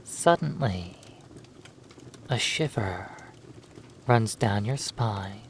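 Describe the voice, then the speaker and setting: A narrator telling a second-person hypnosis story in a measured, halting way, in short phrases with long pauses. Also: mid-range voice, expressive, a little hiss or hum.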